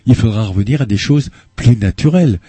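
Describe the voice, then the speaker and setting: Older male radio guest, spokesman type; low, husky, deliberate, dry mic. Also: animated, warm tone, no echo. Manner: measured, hesitant with frequent "euh", explanatory. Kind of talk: earnest radio interview answers. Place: radio studio. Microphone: studio microphone.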